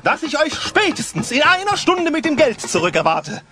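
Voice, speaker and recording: A man's voice: very high voice, very animated, moderate pace, pushed and bright, heavily acted. A man playing a scheming, boastful villain in a German audio play, smug, theatrical and menacing. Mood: threatening. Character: scheming, boastful male villain, a kidnapper and swindler.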